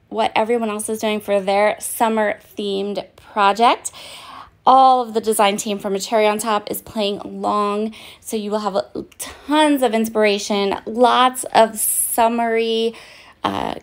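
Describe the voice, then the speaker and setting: A woman giving a casual, chatty voice-over of her craft project at home, her voice raspy and hoarse and she often pauses with "um". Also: a little high. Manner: chatty and apologetic, with frequent "um"s. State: hoarse, voice partly fading. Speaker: craft vlogger. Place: at home, in bed.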